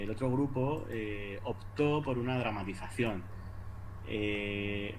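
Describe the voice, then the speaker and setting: A man with an accent from Spain giving an informal academic presentation, haltingly, repeating words and adding little tag questions. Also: mid-range voice, poor audio. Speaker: university lecturer presenting at an academic conference. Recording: noticeable background noise.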